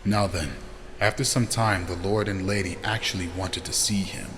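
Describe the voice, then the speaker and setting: A man playing a solemn, formal vampire elder with measured delivery in a fantasy audio drama. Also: sits low, fairly even intonation, natural voice. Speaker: voice actor. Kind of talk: scripted fantasy character dialogue. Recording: long reverb, faint noise.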